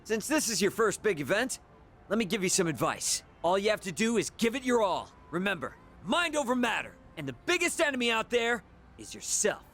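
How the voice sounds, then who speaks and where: Game casting: brash, sporty best-buddy lad, high-pitched, enthusiastic, music underneath. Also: male voice, sing-song, fairly quick, a bit bright, heavily acted. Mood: encouraging. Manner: slangy, enthusiastic. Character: brash, friendly teenage boy, sporty buddy.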